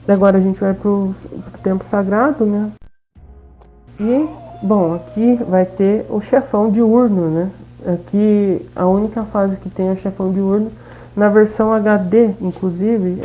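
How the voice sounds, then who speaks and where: Brazilian female gameplay commentator: casual, mid-pitched, informal, slightly lo-fi recording. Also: fairly even intonation, measured pace. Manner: informal, frequent tag questions. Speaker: gaming video host. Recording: dull, lo-fi sound, faint game music.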